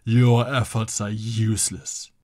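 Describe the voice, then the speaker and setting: A man's voice: fairly low pitch, level tone, slow pace, darkish tone. A man voicing a grandiose, scornful supervillain, declaiming a theatrical monologue with contempt in an audio roleplay. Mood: scornful, menacing. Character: grandiose male supervillain, menacing, scornful of heroes.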